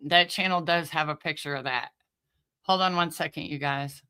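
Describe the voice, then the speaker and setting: A woman's voice: low voice, moderate pace, bright, forceful tone, smooth. A woman giving casual, chatty commentary, talking informally and directly to her viewers. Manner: informal, conversational, addresses listeners directly. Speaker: host of a commentary channel.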